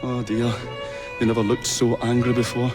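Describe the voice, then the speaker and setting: An actor playing a fretful, earnest inventor in a game cutscene, courteous and wordy, with a hesitant stammer. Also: male voice, mid-range voice, little pitch movement, normal speed, lightly acted. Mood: anxious, flustered. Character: fretful, earnest male inventor, eccentric and well-spoken. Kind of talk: video game cutscene acting. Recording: music behind the voice.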